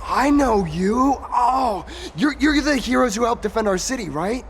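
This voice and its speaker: Excitable townsman, high gravelly voice, stammering, earnest admirer, fantasy game character. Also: unhurried, slight background noise, heavily acted. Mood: startled, star-struck. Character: excitable, star-struck male city dweller. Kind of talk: video game voice acting.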